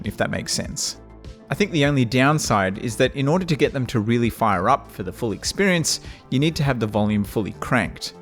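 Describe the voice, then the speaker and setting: A man with a nasal voice, giving a measured, wry scripted review of audio gear. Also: medium pitch. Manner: measured, wry and matter-of-fact. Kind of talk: scripted product review narration.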